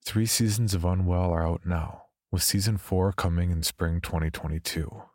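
A man's voice: low-pitched, steady tone, moderate pace, a little mellow, no room sound, studio quality. A man hosting a podcast, reading a scripted introduction. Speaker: podcast host.

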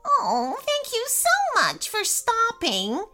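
Woman playing storybook witch, very high-pitched, bright, excitable, gushing, friendly. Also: slow pace, soft background music, full character voice. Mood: grateful, flustered. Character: excitable, grateful female witch, friendly and chatty. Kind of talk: children's storybook character voice.

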